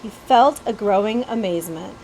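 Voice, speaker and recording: A woman's voice: medium pitch, normal speed, a little hiss or hum, poor audio. A woman reading a children's story aloud expressively, as a librarian would, stumbling now and then. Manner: animated storytelling with occasional stumbles and asides.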